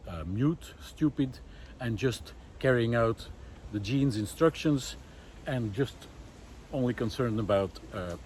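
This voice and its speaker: A man talking unscripted and reflectively to camera outdoors in a wooded park, pausing often mid-sentence between short phrases. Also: lowish voice, a little mellow. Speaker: vlogger. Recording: clear outdoor background noise.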